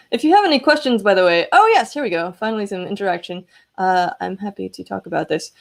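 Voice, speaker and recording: A woman's voice: mid-range voice, animated, brisk, creaky. A woman hosting a casual live electronics demo, chatty and enthusiastic as she explains hardware. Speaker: host of an electronics prototyping livestream.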